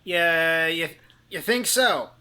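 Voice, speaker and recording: A woman's voice: sits low, expressive, slow pace, bright, forceful tone, smooth. A woman in a tabletop game, speaking in casual improvised role-play. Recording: faint background noise and ambience.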